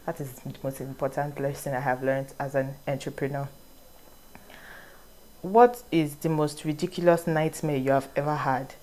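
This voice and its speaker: Low-voiced Nigerian woman vlogger. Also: normal speed, poor audio. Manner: reads questions aloud, then answers in a relaxed, rambling way. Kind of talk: casual Q&A vlog.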